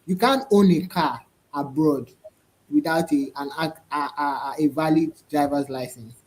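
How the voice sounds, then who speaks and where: A man's voice: a little high, normal speed, rough recording. A man in a casual roundtable discussion over a video call, who restarts often and keeps asking "right?". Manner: hesitant restarts, frequent "right?" and "to be honest". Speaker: panelist.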